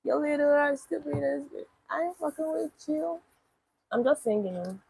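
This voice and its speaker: A woman's voice: high voice, expressive, moderate pace, gravelly, a little mellow, poor audio. A young woman, live-streaming casually and answering chat comments bluntly, with swearing. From Nigeria.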